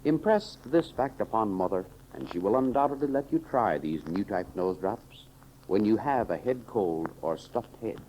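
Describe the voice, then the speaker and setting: A man's voice: lowish voice, animated, moderate pace, dark tone, slight background noise, rough recording. A man announcing and narrating in scripted 1930s radio serial style, with a dramatic, emphatic delivery, on an old recording.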